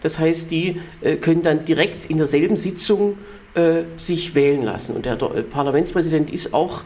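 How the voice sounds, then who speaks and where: A man's voice: fairly high pitch, moderate pace, a little mellow. A man from Germany co-hosting a political podcast, explaining news at length with many fillers and restarts. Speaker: podcast co-host. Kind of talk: casual political chat.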